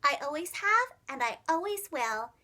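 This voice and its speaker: A woman voicing a loving, doting koala mother in a storybook, with tender, drawn-out sing-song delivery. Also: high voice, sing-song, sharp tone, full character voice.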